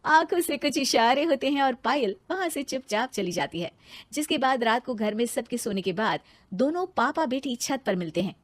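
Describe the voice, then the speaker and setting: A woman narrating a cartoon story and voicing a cheeky young heroine, in a lively way. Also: on the high side, moderate pace, no room sound. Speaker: narrator and lead voice.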